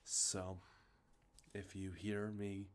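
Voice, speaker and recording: A man with a congested, sniffly nose, talking softly and rambling, with many fillers, as an ASMR host showing off games he collected. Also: lowish voice, completely flat delivery, extremely slow. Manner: rambling, many ums and uhs, self-correcting. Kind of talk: soft-spoken show-and-tell about collected video games. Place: outdoors with traffic nearby.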